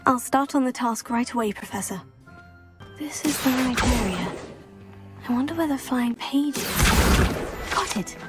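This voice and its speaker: A woman's voice: fairly high pitch, lively intonation, unhurried, pauses often, over music. A woman playing a polite, curious teenage witch, a new student in a video game, courteous and composed.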